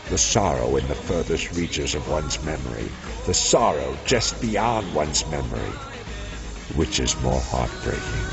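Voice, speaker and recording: An actor playing a grave, brooding narrator of a dark fantasy saga, solemn and measured in scripted narration, with a gravelly voice. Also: male voice, full character voice. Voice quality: deep, gravelly. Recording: dull audio with clear background music.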